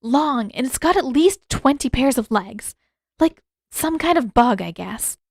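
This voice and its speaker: A woman's voice: high-pitched, lively intonation, a little slow, gravelly, no room sound, clean studio sound, voice pushed up, heavily acted. A woman voicing an earnest, impatient teenage girl who gets flustered and questions urgently in an audiobook-style fan story. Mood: relieved, unsure.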